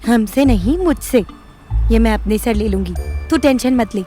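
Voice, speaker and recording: A woman's voice: fairly high pitch, lively intonation, moderate pace, mellow, faint music behind, natural voice. An actress playing a vengeful, unhinged young woman in an audio drama, intense, defiant and menacing. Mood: stubborn, cold.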